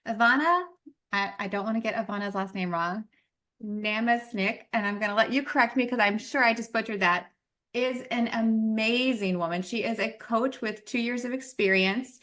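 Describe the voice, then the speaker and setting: A woman's voice: fairly high pitch, moderate pace, a bit bright. A woman hosting a podcast, giving an enthusiastic, effusive introduction of her guest. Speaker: podcast host. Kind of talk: interview introduction.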